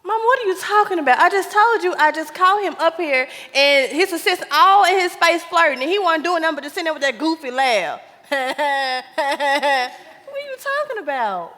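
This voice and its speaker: A woman's voice: sits high, sing-song, moderate pace, sharp tone, full character voice. A woman acting an indignant, stubborn married daughter in a stage play, with an American accent and an exclamatory, defensive delivery. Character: indignant, stubborn young married daughter arguing with her mother. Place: live stage in a theater. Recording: echoey theater hall with long reverb.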